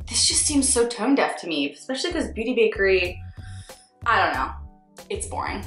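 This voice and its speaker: A young woman from Northeast Philadelphia with a Philadelphia accent, a makeup artist giving blunt, sarcastic, swear-laced opinions in a casual vlog. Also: on the low side. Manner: blunt, sarcastic, swears freely, animated swings. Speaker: beauty vlogger, freelance makeup artist. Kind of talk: candid casual ranting vlog. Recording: echoey room with faint music.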